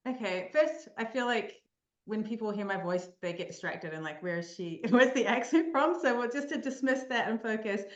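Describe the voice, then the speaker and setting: A woman's voice: a little high, expressive, normal speed. A woman in her forties with a New Zealand accent, chatting as a podcast guest with frequent ums and easy laughter. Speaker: podcast guest, mental performance coach. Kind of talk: casual interview chat. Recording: dull lo-fi sound in an echoey room.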